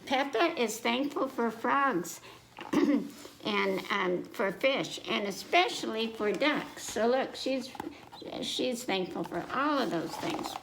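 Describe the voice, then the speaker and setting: An American woman reading a picture book aloud to children, speaking simply and pausing to check in with her young listeners. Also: on the high side, animated, vocal fry, a bit bright. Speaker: children's librarian, story time host. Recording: echoey room with faint noise.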